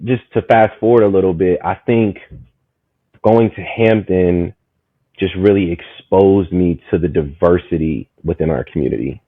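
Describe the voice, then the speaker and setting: Guest: man, 30s, from Maryland, rambling storyteller, low voice, phone-quality audio, dry. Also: normal speed, no echo. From Maryland, USA. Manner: animated, rambling, full of "you know what I'm saying". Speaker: guest, community market founder. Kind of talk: casual storytelling conversation.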